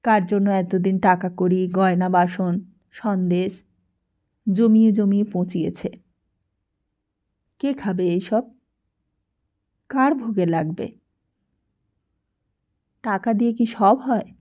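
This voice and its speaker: A woman reading a literary story aloud as an audiobook narrator, with a dramatic delivery and expressive pauses. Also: mid-range voice. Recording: dull, lo-fi sound.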